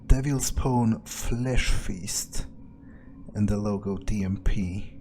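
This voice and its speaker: Slow, mellow low-voiced man, musing. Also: slight background noise. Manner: musing, hesitant, casually profane. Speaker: let's-play gamer speaking in character. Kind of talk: in-character game playthrough commentary, thinking aloud.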